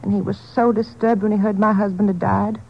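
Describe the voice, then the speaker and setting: A woman's voice: medium pitch, level tone, a little fast, vocal fry, slight background noise, close to an everyday voice. A woman playing a trusting, anxious widow in a 1950s radio drama, polite and hesitant. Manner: hesitant, flustered. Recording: muffled old radio recording.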